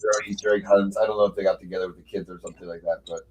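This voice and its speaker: A man's voice: low-pitched, level tone, very fast, low-quality recording. A man hosting a livestream in casual chat, rambling along with lots of "like" fillers. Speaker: livestream host.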